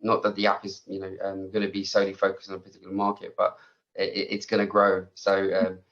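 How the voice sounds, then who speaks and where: A man speaking as a guest panelist in a webinar discussion over a video call, measured and conversational. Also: low-pitched, completely flat delivery, a bit bright, dull audio. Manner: measured, conversational, leans on "you know".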